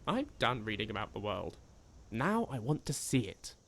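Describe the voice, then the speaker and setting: A man's voice: fairly low pitch, sing-song, moderate pace, vocal fry, fairly bright tone, exaggerated voice. A man playing an eager, bookish young scholar in a video game, polite, wordy and well-spoken, with a self-deprecating manner. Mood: enthusiastic. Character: eager, bookish young male scholar adventurer from a fantasy empire. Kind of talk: video game character dialogue. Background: music.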